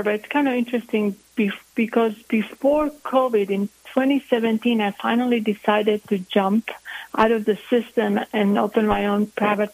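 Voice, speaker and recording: A woman's voice: mid-range voice, vocal fry, a little mellow, no room sound, poor audio. A woman from Croatia with a Croatian accent, a family physician earnestly explaining her views as an interview guest. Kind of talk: interview answers.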